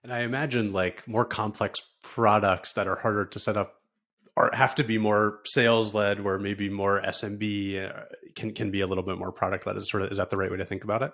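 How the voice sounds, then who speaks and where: Quick-talking man with a low voice. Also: little pitch movement, fairly bright tone. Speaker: interviewer, podcast host. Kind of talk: casual conversational interviewing.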